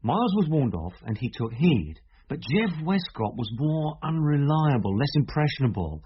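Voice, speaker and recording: Sceptical police inspector, lively intonation. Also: male voice, fairly high pitch. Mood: reasoning, confident. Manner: direct, authoritative, deliberate. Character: brisk, probing, sceptical male police inspector. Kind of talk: radio drama acting. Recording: dull, faintly noisy radio drama recording.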